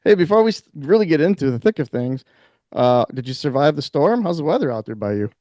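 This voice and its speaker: A man hosting a podcast, leading a relaxed, friendly interview chat. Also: a little high, fast talker, no echo. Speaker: podcast host. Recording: dull, dry lo-fi sound.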